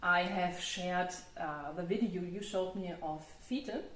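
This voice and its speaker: A woman's voice: fairly low pitch, slow pace, fairly bright tone, roomy sound, low-quality recording. A woman with a German accent, hosting a casual chat and laughing often. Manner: laughs often, restarts, loose and chatty. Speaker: host.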